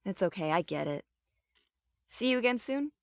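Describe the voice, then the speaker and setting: Lady playing a conflicted lady cop captain, guarded but earnest, mid pitch, audio drama, super dry recording. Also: expressive, moderate pace, dry recording, natural voice. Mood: softened, fond. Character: guarded, conflicted adult female police captain.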